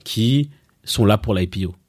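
A man's voice: lowish voice, measured pace. A young man from Rwanda raised in Belgium, talking casually about coaching entrepreneurs, with frequent fillers and restarts. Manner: relaxed, chatty, many fillers and restarts. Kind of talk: casual conversational interview.

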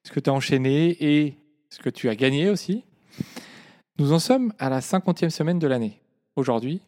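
A man's voice: a little high, darkish tone. A man co-hosting a podcast, introducing and questioning his guest in a conversational style. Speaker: podcast co-host, interviewer.

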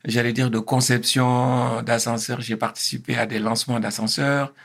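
A man in his fifties from Senegal, a guest on a talk show. His voice has a gravelly, fry-tinged edge. He answers at a measured pace, pausing and restarting often as he gathers his thoughts. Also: mid-range voice, level tone, a bit bright. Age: 50s. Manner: hesitant, many fillers and restarts. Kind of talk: interview answers.

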